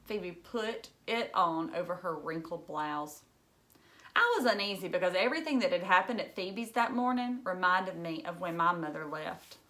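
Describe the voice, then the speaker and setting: A woman's voice: fairly low pitch, lively intonation, moderate pace, pushed and bright. A woman reading a children's novel aloud expressively, like a teacher narrating to pupils.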